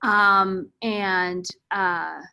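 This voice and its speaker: A woman's voice: medium pitch, steady tone, drawn-out words, creaky, pushed and bright, clean tone. A woman organizer running an online meeting, giving practical instructions in a light, casual way with fillers. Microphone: video call.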